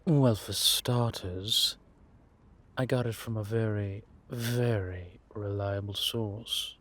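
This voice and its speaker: Man playing a slow, low-voiced ancient vampire spymaster. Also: steady tone, a bit bright. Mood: assured. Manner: measured, deliberate, with long pauses. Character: centuries-old male vampire spymaster, patient, wry, worldly. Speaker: audio roleplay performer. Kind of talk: scripted roleplay audio drama.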